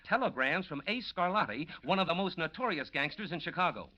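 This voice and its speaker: A man's voice: on the high side, lively intonation, brisk, pushed and bright. A man acting a blunt, cynical police inspector who questions insistently in 1940s radio style. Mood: grim, certain. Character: hard-nosed, cynical male police inspector. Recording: dull old radio recording.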